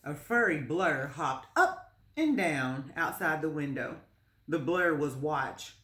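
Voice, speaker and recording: A woman reading a children's story aloud as narrator, in a measured, expressive storytelling manner. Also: low-pitched. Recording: roomy, echoing space.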